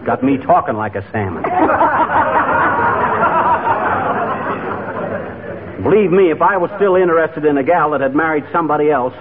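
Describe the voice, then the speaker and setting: An actor playing a jealous, wisecracking husband in a 1940s radio comedy, sardonic and blustery, on a tinny recording. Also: male voice, on the high side, lively intonation, fast talker, over a noisy crowd, natural voice. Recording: tinny old radio recording, live audience.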